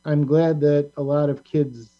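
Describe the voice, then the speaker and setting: A talk show host in a casual group chat, speaking in a halting, rambling way at a middling pitch. The audio is dull and lo-fi, as from a video call. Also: little pitch movement.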